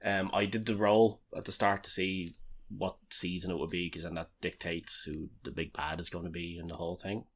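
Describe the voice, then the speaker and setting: A very low-voiced man chatting casually on a podcast. He laughs a lot, swears easily and drifts into loose stories. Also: level tone, normal speed. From Ireland. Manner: laughs often, swears casually, rambling storyteller. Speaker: podcast co-host. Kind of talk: casual chat. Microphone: home podcast mic, video call.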